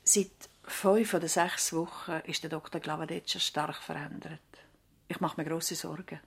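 A woman's voice: low-pitched, steady tone, normal speed, gravelly, slight background noise. A devoted village nurse in a seventies radio play. She speaks politely and earnestly, with concern for those around her. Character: devoted, worried nurse at a village doctor's practice. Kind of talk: 1970s radio drama dialogue.

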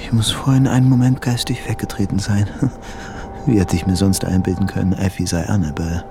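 A man's voice: deep voice, steady tone, moderate pace. An actor playing an obsessive, deluded young man in love in a radio play, intimate and brooding, often murmuring to himself. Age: young adult. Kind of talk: radio play acting, interior monologue. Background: music.

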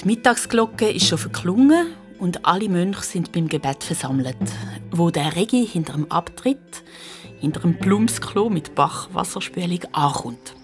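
A woman's voice: on the low side, expressive, unhurried, faint music behind. A woman with a Swiss German accent narrating a children's radio play in a vivid, dramatic storytelling manner. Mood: scene-setting.

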